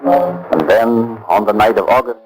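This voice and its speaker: A man's voice: on the low side, unhurried, natural voice, rough recording. A man narrating a true-crime radio program in a grave, dramatic, scripted old-time broadcast style. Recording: vintage radio broadcast with music. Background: music.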